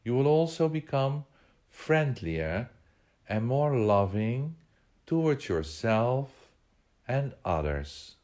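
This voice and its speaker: A man leading a guided meditation, giving measured instructions in short phrases with pauses between them. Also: mid-range voice, very animated, darkish tone.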